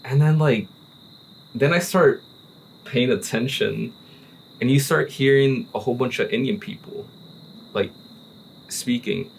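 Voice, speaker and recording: A man hosting a casual cigar podcast, telling a story in an animated way and peppering his talk with "like" and "right?". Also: mid-range voice, a little slow, vocal fry, pauses often, a little hiss or hum, rough recording. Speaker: podcast host. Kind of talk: casual chat. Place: relaxed cigar-smoking hangout with friends.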